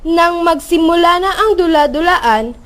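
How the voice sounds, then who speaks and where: A woman's voice: very high-pitched, measured pace, a bit bright. A woman with a Filipino accent reading scripted narration for a radio drama.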